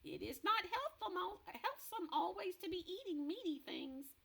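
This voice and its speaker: A woman reading aloud and voicing a big, kindly male giant who speaks in mangled grammar, in a high, lilting voice that slows on odd invented words. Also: sing-song, gravelly, bright, forceful tone, heavily acted. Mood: mild.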